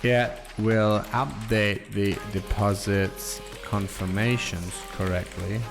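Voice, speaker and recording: A man streaming himself coding, thinking aloud in a slow, halting way and reading code out as he types. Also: lowish voice, steady tone, music in the background. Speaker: programmer streaming live coding.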